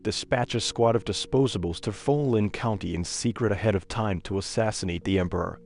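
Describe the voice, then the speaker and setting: Man acting a low, ruthless old court schemer. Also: fairly quick, gravelly, darkish tone, a little hiss or hum. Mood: cold, plotting. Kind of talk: dubbed comic-recap character dialogue. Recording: voice over background music.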